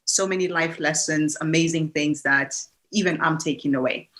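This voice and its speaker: A woman's voice: deep voice, moderate pace. A woman from Kenya, giving a reflective personal talk as a guest speaker, often restarting her sentences. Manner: warm, reflective, with frequent restarts and fillers.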